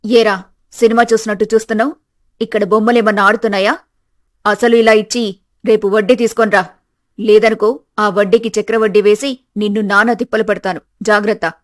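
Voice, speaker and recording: A woman voicing a greedy, domineering older mother-in-law in an animated moral story, scolding and threatening with contempt. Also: mid-range voice, brisk, pitched below the performer's own voice, lightly acted.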